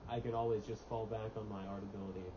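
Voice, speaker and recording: A teenage boy talking casually on a student podcast about his plans, relaxed and chatty, with plenty of fillers. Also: fairly low pitch, fast talker. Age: teens. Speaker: podcast co-host. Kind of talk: casual chat. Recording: dull lo-fi mic with steady hiss.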